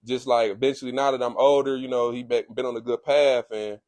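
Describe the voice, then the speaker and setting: A young man with a Detroit accent, telling stories about his upbringing in a laid-back, slang-heavy way, with a low, calm voice. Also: brisk, no echo. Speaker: guest, comedian. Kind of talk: casual interview chat.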